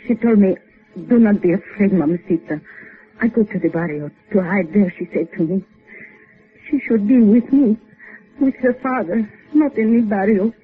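An actress playing a grief-stricken, frightened Cuban mother in a 1950s radio melodrama, speaking halting, formal English. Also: medium pitch, close to an everyday voice. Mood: fearful. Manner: slow, halting, formal English without contractions. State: distraught. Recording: narrow-band old 1950s radio, faint music.

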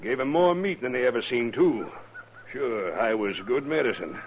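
An actor playing a brutal, boastful older buffalo hunter in a 1950s American radio western, with a rough frontier drawl. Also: male voice, medium pitch, animated, normal speed, muffled recording, natural voice. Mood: boastful menace. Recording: faintly noisy old radio recording.

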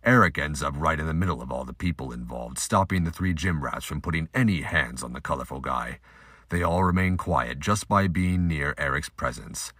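A man with a husky voice reading scripted narration for an audio drama. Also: extremely low pitch, moderate pace, fairly bright tone, dry recording, clean studio sound.

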